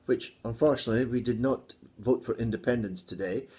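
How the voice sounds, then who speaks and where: A man's voice: mid-range voice, a little fast, a little mellow. A Scottish man teaching a casual video English lesson, joking and teasing his colleague as he talks. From Scotland. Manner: playful, teasing, jokey asides.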